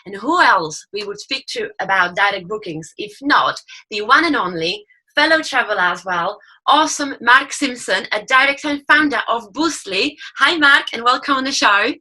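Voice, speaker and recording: A woman's voice: a little high, expressive, normal speed, pushed and bright, husky, poor audio. A woman hosting a podcast interview in an enthusiastic, effusive conversational manner. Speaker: podcast host.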